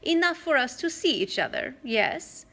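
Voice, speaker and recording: A woman voicing a soothing old female monster who poses as a kindly grandmother, with a slow, purring, coaxing manner, in a storybook reading. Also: high voice, sing-song, sharp tone, voice pushed up, heavily acted. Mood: sweetly polite.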